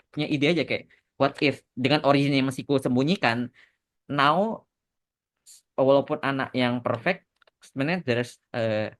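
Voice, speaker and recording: A man in casual podcast conversation, explaining things as a creator, slipping English phrases into his speech. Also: medium pitch. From Indonesia. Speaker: animation creator answering questions on a podcast.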